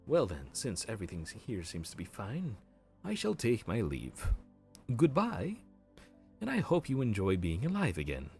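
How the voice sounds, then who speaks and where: A man playing a chatty low-voiced grim reaper. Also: huge pitch swings, normal speed, gravelly, a little mellow, faint music behind, heavily acted. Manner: chatty, bantering and a bit awkward. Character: friendly, flustered male grim reaper supervisor. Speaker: voice actor. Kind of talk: audio roleplay acting.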